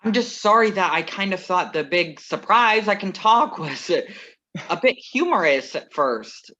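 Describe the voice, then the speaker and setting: A man's voice: high voice, animated, sharp tone, full character voice. A man reading the part of a composed, wry ex-lover who crashes a wedding, in a staged play reading over a video call. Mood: earnest. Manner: measured, wry, earnest. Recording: muffled video call audio.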